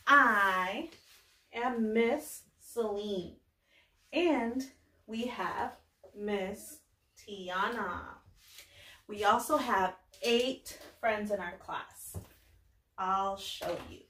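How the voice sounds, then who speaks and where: A young woman, a preschool teacher, giving a lesson to small children in deliberate short phrases with pauses. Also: medium pitch, bright, forceful tone. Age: young adult. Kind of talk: slow lesson for young children, filmed read-aloud greeting. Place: small indoor classroom-style room with bulletin board. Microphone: camera a few steps away. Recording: roomy echo, distant microphone.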